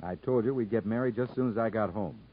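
A man's voice: lowish voice, quick pace, close to an everyday voice. An actor playing a stubborn, folksy young country man in a 1950s radio drama. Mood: tender, easygoing. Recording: dull 1950s radio broadcast recording.

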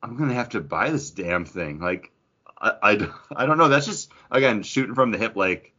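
A man's voice: lowish voice, lively intonation, moderate pace, bright, forceful tone, dull audio. A man co-hosting a podcast in casual geek-culture chat, rambling and interrupting himself with enthusiasm. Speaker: podcast co-host.

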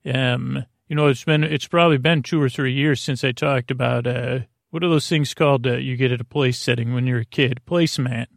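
Creaky-voiced man rambling at bedtime. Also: medium pitch, steady tone, no room sound, clean studio sound. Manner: meandering, halting, self-interrupting; lulling, soothing. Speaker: podcast host.

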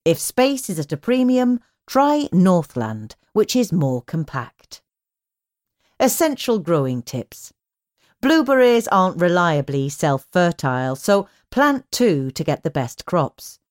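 A British woman reading magazine articles aloud as scripted narration, with measured phrasing and clearly marked headings. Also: fairly low pitch, big pitch swings, darkish tone, no room sound, clean studio sound. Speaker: magazine audio edition reader.

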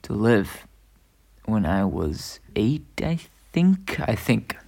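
A young man from Spain answering interview questions in a casual, joking way, often pausing with fillers. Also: on the low side, very animated, creaky, a little mellow. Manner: laid-back, joking, hesitant with fillers. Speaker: guest interviewee. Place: indoors at a family home.

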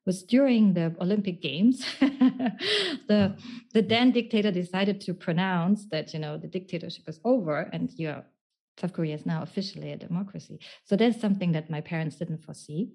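A woman's voice: lowish voice, a little fast, creaky, mellow, slightly rough. A woman recalling things in conversation, hesitant and searching for her words. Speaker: guest, writer. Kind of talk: halting conversational recollection.

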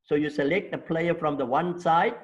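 A man's voice: on the high side, normal speed, muffled recording. An older man in his sixties with a South African accent, a native Afrikaans speaker, telling his life story at length in an interview and checking in with rhetorical questions. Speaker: interview guest.

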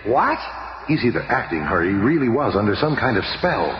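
An actor playing an authoritative scientist hero in a radio drama, decisive and dramatic. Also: male voice, fairly low pitch, big pitch swings, fairly quick, crowd noise. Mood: urgent. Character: authoritative scientist leader of superhero team. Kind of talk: superhero radio drama acting. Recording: muffled, echoey hall-like radio recording.

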